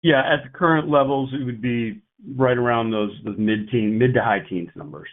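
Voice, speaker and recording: A man's voice: medium pitch, normal speed. Man on a tinny conference call line. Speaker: company executive. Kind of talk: prepared investor remarks and analyst Q&A on an earnings call. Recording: tinny narrow-band phone line.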